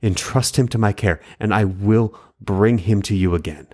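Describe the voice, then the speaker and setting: A man's voice: on the low side, normal speed, a little mellow, natural voice. A man voicing an earnest, insistent eldest brother.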